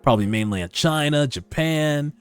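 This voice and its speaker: A man giving animated, slangy, sometimes profane reaction commentary as host of a read-along. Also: on the high side, normal speed, clean tone. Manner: casual, slangy, profane, excitable. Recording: background music under voice. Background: music.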